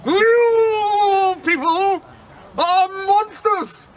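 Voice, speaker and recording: A man voicing a stern, pompous old male judge who drawls out booming pronouncements. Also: drawn-out words, far from the mic, a little hiss or hum, heavily acted.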